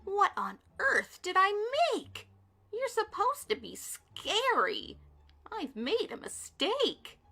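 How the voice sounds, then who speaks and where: A woman voicing a bossy, snappish female witch in a storybook read-aloud, scolding and shrill. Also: wildly swooping pitch, takes it slowly, creaky, sharp tone, full character voice. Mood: dismayed.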